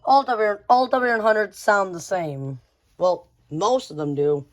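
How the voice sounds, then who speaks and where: A woman's voice: mid-range voice, slow, background noise. A woman giving a casual, unscripted show-and-tell of a collection, rambling and often repeating phrases. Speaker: radio collector showing a collection.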